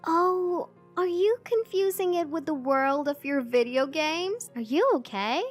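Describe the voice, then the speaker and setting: A woman voicing a bossy, condescending older sister who talks in a shrill, scornful way. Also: sing-song, normal speed, faint music behind.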